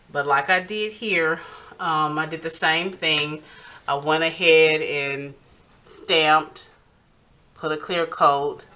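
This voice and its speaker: A woman hosting a nail art tutorial in casual, step-by-step talk, halting and pausing mid-sentence. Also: sits low, sharp tone, a little hiss or hum.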